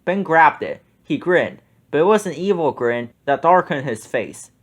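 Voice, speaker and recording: A man narrating a children's storybook aloud with animated, expressive storytelling. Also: a little high, moderate pace. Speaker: children's book reader.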